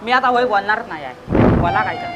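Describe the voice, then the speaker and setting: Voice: mid-range voice, wildly swooping pitch, quick pace, muffled recording, noisy background. An amateur stage performer playing an exasperated, bullying villager, scolding and firing repeated questions in a comic play. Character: exasperated, bullying villager demanding his money back. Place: school stage event, live telecast.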